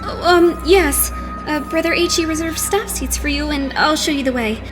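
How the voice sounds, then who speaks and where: A woman's voice: high-pitched, moderate pace, over music, natural voice. A woman voicing a modest, polite young male idol in an anime game fan dub, hesitant and apologetic.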